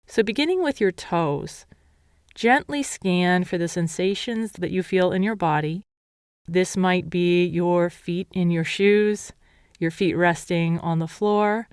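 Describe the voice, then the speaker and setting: Woman guiding a meditation, clear smooth voice. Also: lowish voice, dry recording, studio quality. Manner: calm, measured, instructional. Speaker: meditation teacher. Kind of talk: guided meditation.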